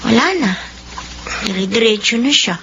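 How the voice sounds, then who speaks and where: A woman's voice: fairly high pitch, moderate pace, bright, forceful tone, lo-fi sound, slight background noise. An actress playing a polite, helpful young Filipino girl in a radio drama. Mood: helpful, curious. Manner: polite, deferential to elders.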